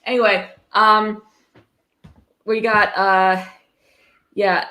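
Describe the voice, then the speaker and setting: A woman hosts a casual livestream from a roomy, echoey space, switching between reading aloud and commentary. Her voice sits at a middling pitch, and her manner is sarcastic and irreverent, with plenty of swearing. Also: flat delivery, very slow, bright, forceful tone. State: tipsy. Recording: roomy, echoey room sound.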